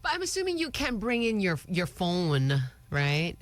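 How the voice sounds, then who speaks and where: Female radio co-host. Easygoing and agreeable, mixes in Tagalog. Mid-pitched voice, dry studio sound. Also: huge pitch swings, normal speed, no room sound. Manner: conversational, agreeable, code-switches to Tagalog. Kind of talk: casual morning radio chat.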